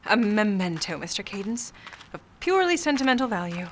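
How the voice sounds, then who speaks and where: A poised, mysterious screen siren of forties noir radio, played by a woman. Her pitch swings expressively through arch, evasive lines. She keeps a cool composure as a femme fatale. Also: very animated, brisk, slight background noise, close to an everyday voice. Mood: arch, teasing. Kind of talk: film noir radio play acting.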